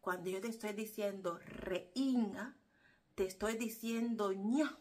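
A woman's voice: medium pitch, slow, darkish tone. A woman in her thirties with a Cuban Spanish accent, talking casually and animatedly to camera from a small room, laughing easily. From Cuba. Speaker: vlogger. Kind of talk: casual explanatory vlog. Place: small room indoors, seated in armchair. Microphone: camera in front, medium close.

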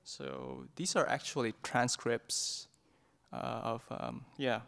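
A middle-aged monk from Indonesia talks through a book at a hesitant, gentle pace, full of restarts and fillers. His voice is mid-pitched with a gravelly edge. He speaks into a stand mic in a meditation hall. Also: level tone, slow. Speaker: Buddhist monk leading a book club talk. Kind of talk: informal book discussion talk. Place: meditation hall in a Buddhist centre. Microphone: stand microphone in front of his chair.

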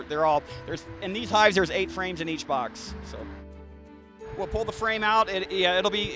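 Brief: thirties male beekeeper, slightly high voice, easygoing and halting, handheld mic outside, soft music underneath. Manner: relaxed, frequent restarts and fillers. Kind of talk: casual explanation to an interviewer. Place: outdoors by beehives in a garden. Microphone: handheld interview mic.

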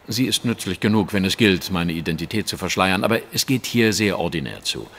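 An actor playing a shrewd, self-assured English detective in an audio drama, speaking precisely and eloquently in a lecturing manner. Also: male voice, sits low, expressive, brisk, a bit bright, full character voice. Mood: composed, grave.

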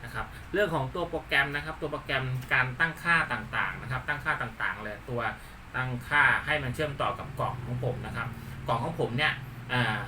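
A man's voice: mid-range voice, expressive, brisk. A man from Thailand giving a casual hands-on demonstration as a channel host, talking informally and repeating himself with frequent polite particles. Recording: distant mic with faint background noise.

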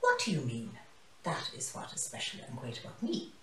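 A woman's voice: rumbling low, wildly swooping pitch, moderate pace, fairly bright tone, slight background noise, pitched below the performer's own voice, full character voice. Subdued, unsure storybook giraffe, read by a woman. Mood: confused. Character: insecure young female giraffe, glum, then amazed. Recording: roomy, mic some distance away.

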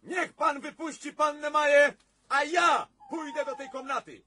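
A man's voice: very high voice, unhurried, bright, forceful tone, heavily acted. An actor playing an impulsive, stubbornly brave young man in love in a radio drama, urgent and insistent. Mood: defiant. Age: young adult.

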